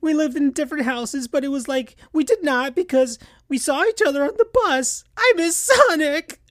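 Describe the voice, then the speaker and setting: A young fox boy with a very high, squeaky, strained voice, grieving and quick to anger, as a man puts him on. The delivery is childish and lively, and the recording is dry and close. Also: no echo. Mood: tearful.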